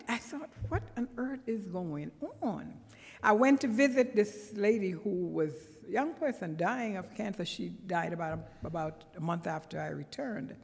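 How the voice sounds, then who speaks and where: A woman's voice: medium pitch, gravelly. A woman from Trinidad with a Trinidadian accent, preaching a conversational sermon as a guest in a church, with earnest, emphatic bursts. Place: church sanctuary. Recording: long church reverb.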